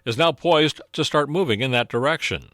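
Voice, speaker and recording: A man's voice: a little high, normal speed, fairly bright tone, no room sound, studio quality. A man with an American accent reading scripted news narration as a radio reporter.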